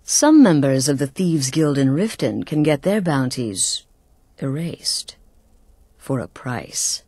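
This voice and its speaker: Low, silky assassin guild leader. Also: female voice, very animated, slow, dark tone, husky, dry recording, studio quality, full character voice. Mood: instructive, cool. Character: poised, seductive woman leader of an assassins' guild. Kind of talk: video game voice acting.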